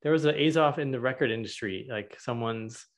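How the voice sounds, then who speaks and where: A man in his thirties hosts the interview from home over a video call. He talks in a relaxed, halting way, restarting phrases and jumping in with questions. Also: medium pitch. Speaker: podcast host. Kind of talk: casual interview chat. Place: home room. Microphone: video call with earbuds.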